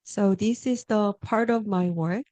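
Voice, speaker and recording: A woman from Korea with a Korean accent, an artist giving a talk, speaking haltingly and pausing to search for words. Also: medium pitch, a little mellow, no room sound.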